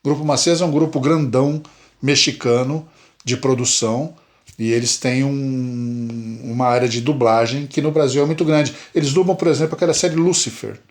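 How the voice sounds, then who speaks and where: A Brazilian man from Brazil co-hosting a casual podcast chat, animated and emphatic, often repeating words. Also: medium pitch, normal speed. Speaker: podcast co-host.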